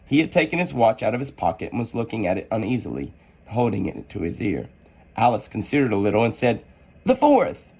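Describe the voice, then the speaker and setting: A man narrating a children's story aloud in an expressive, theatrical manner. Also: fairly low pitch, fast talker, husky. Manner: animated, theatrical delivery.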